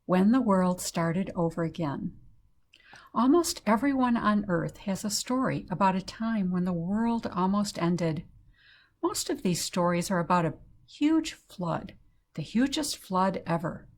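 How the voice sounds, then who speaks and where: A woman hosting a children's story time, reading and speaking in simple, deliberate phrases for young listeners. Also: fairly low pitch, lively intonation.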